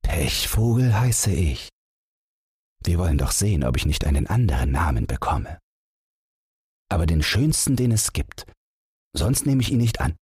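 Man playing a husky, unlucky young wanderer. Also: low-pitched, big pitch swings, moderate pace, long pauses, a little mellow, no echo, clean studio sound, exaggerated voice. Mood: hopeful. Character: sad, unlucky young wanderer turning hopeful.

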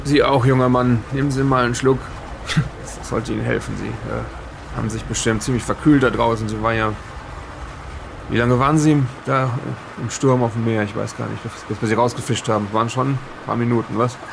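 A man's voice: mid-range voice, steady tone, a little fast, vocal fry, background noise, close to an everyday voice. A man playing a kindly, folksy sailor who questions the castaways he has rescued in an improvised audio drama. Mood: caring, hesitant.

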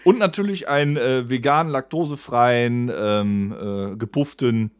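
Man, average pitch, casual podcast banter, animated mock-exasperation. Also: measured pace, no echo, clean studio sound. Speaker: podcast co-host. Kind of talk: casual banter among friends.

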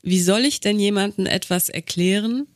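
A woman's voice: medium pitch, moderate pace, no echo. Bilingual woman hosting a podcast, dry sound. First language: German and English. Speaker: podcast host, voice actress. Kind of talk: podcast hosting, scripted intro and casual chat.

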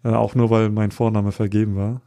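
Guy from hamburg on a podcast, low mellow gravelly voice, lots of ums. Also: little pitch movement, a little fast. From Hamburg, Germany. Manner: hesitant, many fillers, self-deprecating. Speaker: podcast guest, street photographer. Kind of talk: casual interview chat.

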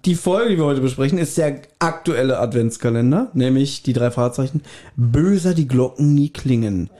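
A man's voice: medium pitch, lively intonation, normal speed, warm tone. A man hosting a podcast in casual chat, rambling along with self-deprecating remarks. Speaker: podcast host.

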